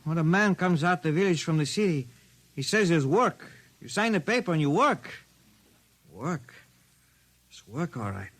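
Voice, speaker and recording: High-voiced, weary indentured laborer man. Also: sing-song, normal speed, pauses often, fairly bright tone. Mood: bitter. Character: weary, resentful male indentured jungle laborer on Venus. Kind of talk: old-time radio drama acting.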